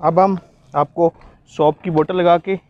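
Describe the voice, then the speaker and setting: A man's voice: on the high side, fairly even intonation, unhurried, dry recording, lo-fi sound. A man with a Pakistani accent giving an instructional live product demonstration outdoors, explaining as he goes. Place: outdoors, on a street and a rooftop.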